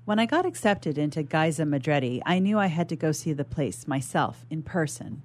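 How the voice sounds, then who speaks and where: Woman, low voice, lively intonation, expressive storytelling, first-person fiction reading. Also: moderate pace. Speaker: writer reading own short story.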